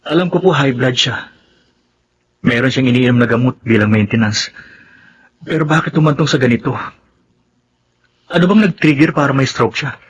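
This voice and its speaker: An actor playing a worried, respectful young son in a Filipino radio drama, earnest and questioning, with a Filipino accent. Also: male voice, medium pitch, normal speed, pauses often, breathy edge, natural voice.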